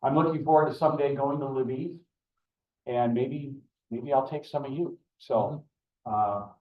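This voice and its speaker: A man's voice: medium pitch, a little slow. An older man, a club member, introduces a guest speaker at a club meeting in an earnest, rambling way. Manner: rambling, earnest, with many fillers and restarts. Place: meeting room. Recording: echoey room, distant dull mic.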